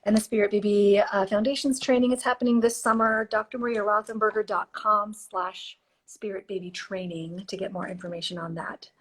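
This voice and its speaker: A woman hosting a live stream, chatting and giving oracle card readings, her voice a little hoarse. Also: mid-range voice, steady tone, a little fast, few pauses, poor audio. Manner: warm, chatty, reads card texts softly. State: slightly hoarse, losing her voice. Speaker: podcast host and intuitive reader. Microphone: phone or computer mic for a live stream.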